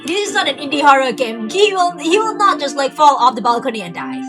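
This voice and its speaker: Chatty young woman streamer, lively pitch. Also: a little fast, music in the background. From Thailand. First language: not English. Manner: rambling, filler-heavy, frequent restarts. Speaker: game streamer. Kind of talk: casual livestream commentary.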